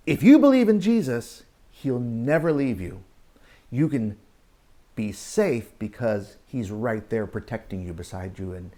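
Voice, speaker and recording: A man reads and talks to young children as a story-time teacher. His pitch moves up and down expressively, and his delivery is unhurried, with dramatic pauses. He keeps putting simple questions to his listeners. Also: darkish tone. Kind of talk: animated storybook reading and children's Bible talk.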